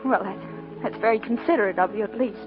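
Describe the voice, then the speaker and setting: A woman's voice: medium pitch, fairly quick, natural voice. An actress playing an earnest, plainspoken young working woman in love, with an American accent, in 1940s radio style. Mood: pleading. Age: young adult. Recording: muffled old radio broadcast with music.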